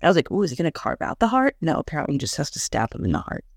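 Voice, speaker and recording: Lowish-voiced chatty woman podcast co-host. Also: racing, creaky, dry recording, studio quality. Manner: chatty, animated, laughs often. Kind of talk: casual chat recapping a TV episode.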